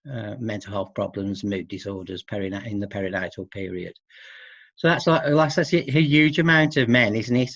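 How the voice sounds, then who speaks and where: Middle-aged man, halting interview answers, muffled lo-fi recording, dry room. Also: mid-range voice, a bit bright, dry recording. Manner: hesitant, halting, repeats words and seeks agreement with tag questions. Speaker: expert guest who works with men. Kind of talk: informal interview discussion. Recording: dull, muffled lo-fi audio.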